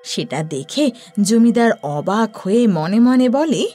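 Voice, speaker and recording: Lively woman narrating a horror story. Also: mid-range voice, slow, no echo, soft background music. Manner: expressive storytelling.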